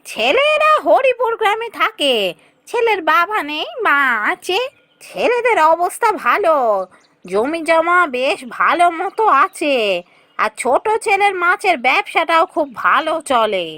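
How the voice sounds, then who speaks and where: Woman acting as persuasive village matchmaker, cartoon fairy tale, high animated voice, chatty, dry close recording. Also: measured pace, dry recording, full character voice. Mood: explaining, persuasive.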